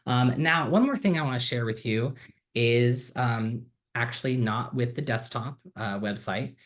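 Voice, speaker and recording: A man talks casually into his computer mic while sharing his screen. He sounds friendly and upbeat and keeps filling pauses with ums and uhs. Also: mid-range voice. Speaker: student giving a software demo. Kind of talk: casual screen-share walkthrough.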